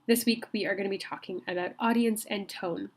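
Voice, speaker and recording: A woman's voice: medium pitch, fairly even intonation, fairly quick. A woman instructor giving a recorded online college lecture while she has a cold, speaking in a friendly, conversational way with frequent ums and restarts.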